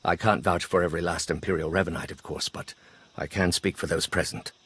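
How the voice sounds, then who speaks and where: An actor playing a proud, calculating imperial lord in a video game, speaking in a measured, formal, haughty way. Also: male voice, deep voice, steady tone, a bit bright, slightly rough. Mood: resigned. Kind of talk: video game character acting.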